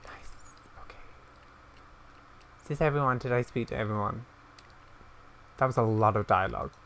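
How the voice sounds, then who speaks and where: A man's voice: medium pitch, normal speed, fairly bright tone, a little hiss or hum. A man giving casual gameplay commentary in between reading dialogue aloud. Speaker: gaming YouTuber.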